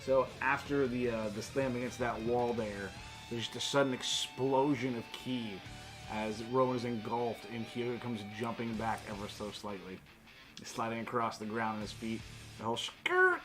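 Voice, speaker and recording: A man's voice: mid-range voice, animated, moderate pace, music in the background. A man narrating a tabletop role-playing game as game master in a conversational way.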